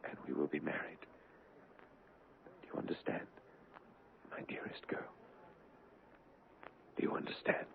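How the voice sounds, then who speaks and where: An actor playing a tormented, controlling fiancé in an intense, melodramatic 1970s radio drama style. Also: male voice, a little slow, pauses often, natural voice. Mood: hushed pleading. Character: George, anguished, controlling fiancé narrating his own torment. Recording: noisy, tinny vintage radio broadcast.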